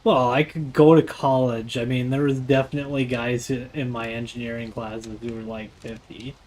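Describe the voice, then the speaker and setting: Man, late twenties, game streamer, casual chat, teasing, profane, mid-pitched, noisy background. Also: little pitch movement, normal speed, a little mellow. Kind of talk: casual chat while gaming on a livestream. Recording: clearly noisy stream audio.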